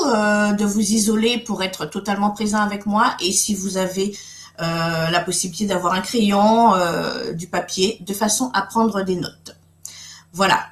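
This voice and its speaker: A woman's voice: mid-range voice, echoey room. A middle-aged woman from Guadeloupe hosting a live webinar, speaking in a friendly way with many fillers and restarts. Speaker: webinar host and coach.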